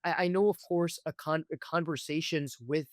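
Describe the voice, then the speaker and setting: A man with a slightly high voice hosts a conversational interview. He builds long, halting questions and keeps checking in as he goes, in a dry recording with very little room sound. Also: fairly even intonation, no echo. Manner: halting, wordy questions with repeated check-ins. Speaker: podcast host, interviewer.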